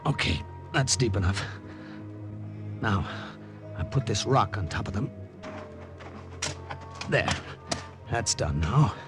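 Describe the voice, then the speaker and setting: An actor playing an undercover American agent, narrating a 1950s radio drama in terse, suspenseful style. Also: male voice, lowish voice, expressive, slow pace, long pauses. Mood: hushed, determined. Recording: vintage 1950 radio recording, music behind.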